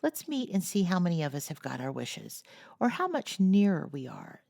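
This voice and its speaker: A woman voicing a bold, boyish teenage girl who is always ready with a plan, brisk and animated. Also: on the low side, vocal fry, dry recording, clean studio sound, voice pushed up, full character voice. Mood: eager.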